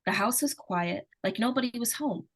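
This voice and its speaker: A woman's voice: on the high side, animated, normal speed, husky. A woman playing a plucky, anxious, insistent little girl in an old-time radio suspense play. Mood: worried storytelling.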